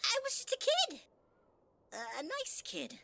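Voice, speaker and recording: A woman's voice: big pitch swings, a little slow, background noise, full character voice. A woman voicing a sweet-talking, sinister male flower in a fan audio drama, syrupy and teasing. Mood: sugary. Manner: syrupy, teasing, swings high and low.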